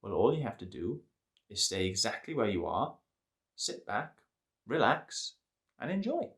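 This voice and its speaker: A man's voice: lowish voice, a little slow. Playful man reading to kids. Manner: playful, lively storyteller. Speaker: host and story reader. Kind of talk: children's storytelling.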